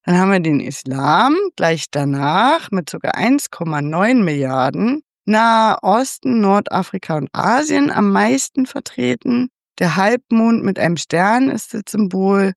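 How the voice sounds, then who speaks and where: A woman talking through a topic in a relaxed, chatty way, her voice carrying a gravelly, creaky texture. The sound is close and dry, with no room around it. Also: medium pitch, a little slow, few pauses, no echo. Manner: chatty, a bit unsure, asks for confirmation. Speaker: host. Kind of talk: casual explanatory overview.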